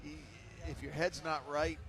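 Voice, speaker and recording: A man calls a kickboxing fight from ringside with a fairly high voice. He gets excited and exclaims at the hard strikes, then settles back into steady analysis. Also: a little slow. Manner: excited, exclaims at big hits. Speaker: fight commentator. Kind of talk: live ringside commentary.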